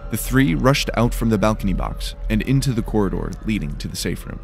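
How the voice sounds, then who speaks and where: A man with a slightly tired, worn voice reading scripted, dramatic dark fantasy narration. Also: lowish voice, expressive, a little fast, vocal fry, faint music behind.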